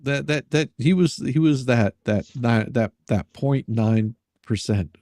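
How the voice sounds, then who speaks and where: A man's voice: mid-range voice, unhurried, darkish tone, no echo, studio quality. A man hosting a live stream in casual chat, reading messages aloud and commenting sarcastically, often repeating words as he goes.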